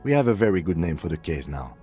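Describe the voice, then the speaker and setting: A man playing an earnest, reserved police lieutenant in a video game, with measured, polite, deadpan delivery. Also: low-pitched, music in the background. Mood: pleased. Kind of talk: video game dialogue acting.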